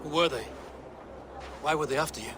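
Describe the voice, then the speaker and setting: An actor playing a steady, watchful and reassuring male Viking warrior in a video game, over outdoor noise. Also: on the high side, a little fast, close to an everyday voice. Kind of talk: video game dramatic acting. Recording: loud game ambience behind voice.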